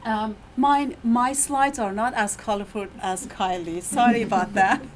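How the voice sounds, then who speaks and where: A woman's voice: a little high, a little slow, noisy background. A middle-aged woman with an Iranian accent, giving a faculty talk as a university lecturer, hesitant with many fillers and restarts, her voice tired after teaching. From Iran. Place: university meeting room.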